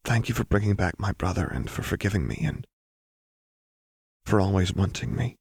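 A man voicing an earnest, tender young woman in an audiobook, halting and pleading. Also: low-pitched, animated, vocal fry, a little mellow, husky, no room sound, clean studio sound, exaggerated voice. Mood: soft, tender. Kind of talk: audiobook character voice.

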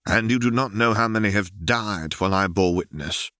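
A man's voice: fairly low pitch, moderate pace, sharp tone, no room sound, studio quality, pitched above the performer's own voice. Man playing a weary, cold war-hardened knight. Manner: clipped, cold.

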